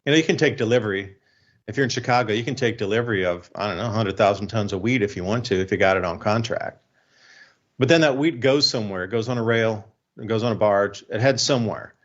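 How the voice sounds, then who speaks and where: A man's voice: on the low side, fast. Male talk-radio host, slightly dull audio. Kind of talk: informal talk-radio commentary.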